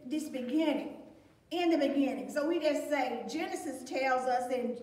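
A woman's voice: high voice, moderate pace, a little mellow. An older woman teaching a Sunday school lesson, reading scripture and explaining it in an earnest, emphatic way, recorded from a distance in a plain room. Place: plain room against a beige wall. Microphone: fixed camera at a distance. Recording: echoey room, voice from far off.

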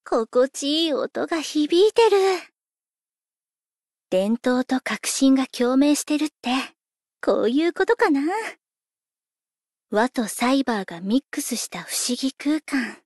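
High-voiced playful young idol girl. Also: expressive, a little slow, long pauses, darkish tone, no echo, clean studio sound, exaggerated voice. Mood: inviting, relaxed. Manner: breezy, coaxing, lightly teasing. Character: playful, laid-back young female pop idol, teasing and sweet. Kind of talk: scripted game character voice lines.

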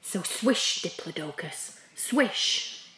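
A woman reading a children's picture book aloud in measured short phrases with pauses. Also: lowish voice, expressive, bright, forceful tone. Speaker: school staff reader. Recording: roomy, echoey sound.

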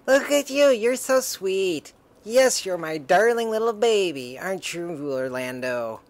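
A woman's voice: mid-range voice, lively intonation, measured pace, a little mellow. A woman voicing an anxious, tender young woman from a comic book, with melodramatic delivery. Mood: doting.

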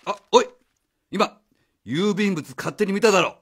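A man's voice: sits high, measured pace, fairly bright tone, slightly rough, heavily acted. A man playing a flustered, evasive, cheeky father who drives a taxi, stammering and blustering in a radio drama. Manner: stammering, blustering, casual speech. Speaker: voice actor.

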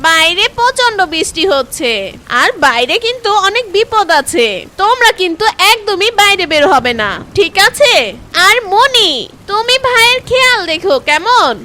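Lady playing tiny mama bird in a cartoon, very high lively voice, caring and plucky. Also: moderate pace, a little hiss or hum, full character voice. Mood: earnest, worried. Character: small clever mother bird, caring and plucky.

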